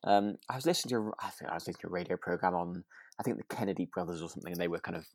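Male co-host, low voice, dry close sound, thoughtful and a little tentative in casual discussion. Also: rapid-fire, darkish tone, no room sound. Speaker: podcast host. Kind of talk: casual chat reviewing a radio drama.

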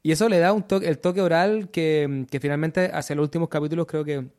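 Brief: man, storyteller guest, slightly high voice, halting and loose in conversation. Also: steady tone, darkish tone. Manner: hesitant, many restarts and fillers. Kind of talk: casual panel conversation.

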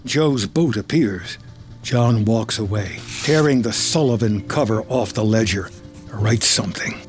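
A man reads scripted film narration, giving present-tense stage directions in a dramatic, deliberate delivery. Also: fairly low pitch, soft background music. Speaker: screenplay narrator.

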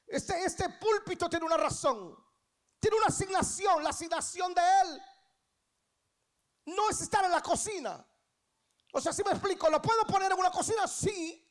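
A woman in her thirties with a Guatemalan Spanish accent preaches a sermon, emphatically repeating key phrases and putting questions to the congregation. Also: extremely high pitch. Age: 30s. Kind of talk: church sermon.

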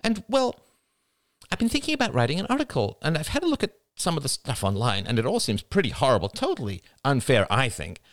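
A man's voice: medium pitch, wildly swooping pitch, brisk, no room sound, clean studio sound, pitched above the performer's own voice. A man voicing an eager, persistent female journalist in an audiobook. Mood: eager, curious. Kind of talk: audiobook character voice.

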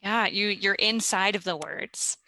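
A woman from Minnesota, a classical singer, speaking thoughtfully in a relaxed interview with some ums. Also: mid-range voice, unhurried, no echo. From Minnesota, USA. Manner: thoughtful, articulate, with fillers. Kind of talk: casual conversation.

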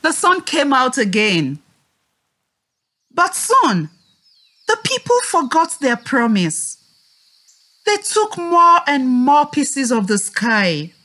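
A woman teacher leading a scripted read-aloud for young children, speaking deliberately and expressively and prompting them to repeat. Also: sits high, huge pitch swings, pushed and bright.

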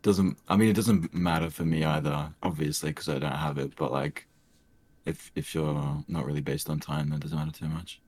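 A young man with a soft, soothing voice, chatting hesitantly as a podcast guest with many fillers. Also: very deep voice, steady tone, normal speed, breathy edge, no echo. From Australia. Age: young adult. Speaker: streamer guest. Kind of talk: casual podcast chat.

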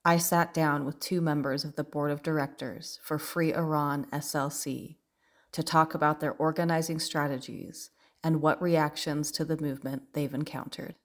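Woman podcast host, scripted narration; very low voice with gravelly fry. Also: fairly even intonation. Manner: measured, read from script.

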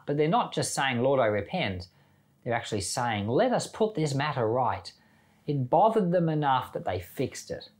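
A man speaking as a pastor on a Bible podcast, explaining scripture in an earnest, informal, conversational way. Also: medium pitch. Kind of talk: conversational Bible teaching with commentary.

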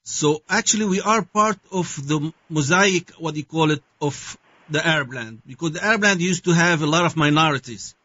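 A man from Syria speaks with a Syrian Arabic accent, his voice high and bright. He answers in halting bursts, stopping to search for words. The sound is dry and a little dull. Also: no room sound. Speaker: guest. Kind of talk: interview answers.

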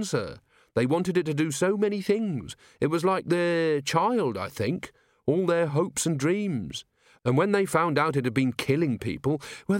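A man's voice: on the high side, no room sound, studio quality, pitched above the performer's own voice. A man voicing an earnest, polite young police officer in an audiobook, deferential and sincere. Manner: deferential, sincere, literal-minded.

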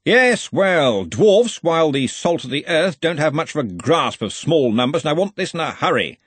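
Male narrator as bossy senior wizard, booming authority, jovial menace, swooping intonation, fantasy audiobook. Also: wildly swooping pitch, breathy edge, dry recording, full character voice. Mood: brisk, commanding. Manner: loud, brisk, authoritative.

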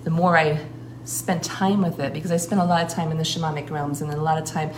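Low-voiced woman in a roomy recording. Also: level tone. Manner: earnest, flowing, with frequent filler pauses. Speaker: shamanic priestess and guest speaker. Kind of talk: casual spoken account. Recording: roomy recording with clear background noise.

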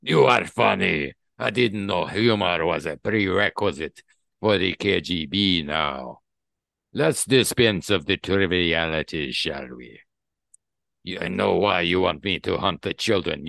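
A man voicing a mocking, grandiose psychic villain with a Russian accent and a theatrical, drawn-out delivery, retelling a comic book. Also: deep voice, gravelly, a bit bright, no room sound, clean studio sound, heavily acted. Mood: mocking, menacing. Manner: theatrical, slow drawn-out threats.

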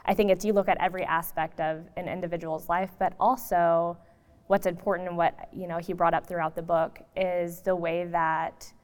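Female podcast guest, slightly low pitch, earnest and reflective conversational delivery. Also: little pitch movement, moderate pace, clear, resonant tone. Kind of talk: conversational discussion.

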